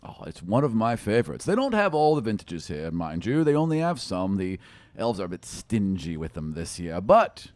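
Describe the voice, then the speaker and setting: A man's voice: on the low side, very animated, moderate pace, a little mellow, no room sound. A man voicing a confident, wealthy shipping lord in a tabletop game, smug, genteel and long-winded. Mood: smug, genial. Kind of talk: improvised tabletop roleplay.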